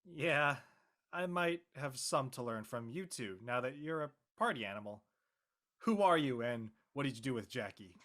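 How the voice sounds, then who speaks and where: A young actor playing a devoted, gently teasing friend in a drama, casual and reassuring. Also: male voice, mid-range voice, animated, moderate pace, natural voice. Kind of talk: acted dialogue in an audio-led play.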